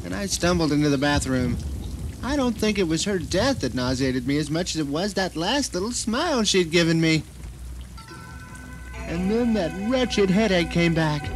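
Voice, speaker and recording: A man playing a self-satisfied novelist trapped at home, narrating his grim ordeal dramatically in an audio drama. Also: sits high. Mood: queasy, desperate. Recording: noisy 1990 radio drama recording.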